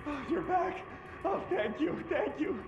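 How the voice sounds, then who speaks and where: A man's voice: very high voice, animated, measured pace, full character voice. An actor playing a panicky, exhausted employee pleading for rescue in a video game, stammering as he goes. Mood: frantic. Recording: muffled, echoey cell with music behind.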